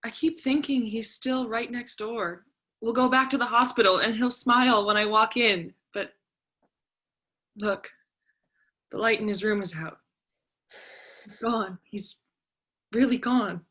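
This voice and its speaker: A woman acts out an eighteen-year-old American daughter in a stage drama. Her voice is fairly high and sharp, with a forward edge. She is wounded, defiant and sarcastic, speaking with raw feeling. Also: unhurried, pauses often. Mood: grieving, dazed. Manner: emotional, argumentative. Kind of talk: staged play reading.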